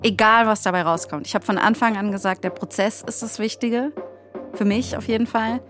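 A woman's voice: mid-range voice, a little fast, fairly bright tone, soft background music. A woman co-hosting a podcast in casual conversation. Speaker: podcast co-host and author. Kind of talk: casual chat.

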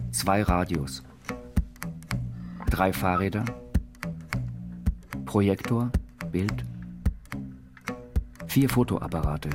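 An actor playing an eccentric utopian inventor reading out his writings in a measured, deliberate audio-drama delivery. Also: deep voice. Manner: measured, deliberate, declamatory listing. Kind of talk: slow spoken-word reading in an audio drama.

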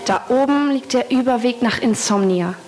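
A woman's voice: a little high, normal speed, a little mellow, natural voice. Woman playing a stern, ominous petrol station keeper. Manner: curt, ominous, dismissive. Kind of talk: vintage children's audio play.

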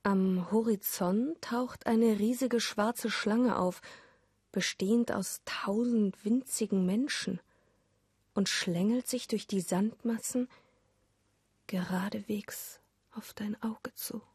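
A woman narrating a German radio play as a solemn storyteller, breathy and husky, at times whispering. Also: mid-range voice, slow, darkish tone, no room sound, studio quality. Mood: ominous, hushed. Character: solemn storyteller of a dreamlike flood disaster.

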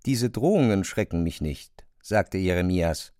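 An actor giving dramatised literary narration, a storyteller who also voices a weary, defiant servant. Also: male voice, deep voice, lively intonation, a little slow, a little mellow, no room sound, studio quality.

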